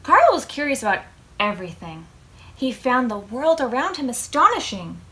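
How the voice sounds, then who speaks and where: A woman reading a picture book aloud to preschoolers as a storytime host, expressive, drawing out words and putting questions to her young listeners. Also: high voice, moderate pace, a little hiss or hum.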